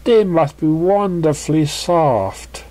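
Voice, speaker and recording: A reader voicing a refined, fashionable female unicorn with a genteel, drawn-out, effusive manner. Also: medium pitch, expressive, warm tone, pitched above the performer's own voice. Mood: delighted.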